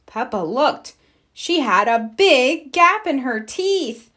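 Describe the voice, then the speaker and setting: A woman reading a children's storybook aloud in an expressive, deliberate storytelling style. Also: high-pitched. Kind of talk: read-aloud scripted narration.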